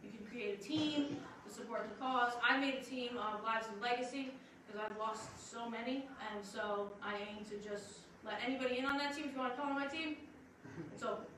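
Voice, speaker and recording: A woman makes chatty, upbeat church announcements in a rambling way. Her voice sits a little high. She is picked up from far away in a very echoey hall, and a clear layer of background noise runs underneath. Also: a little fast, a bit bright. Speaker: admissions staff speaker. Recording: distant mic, heavy room echo, background noise.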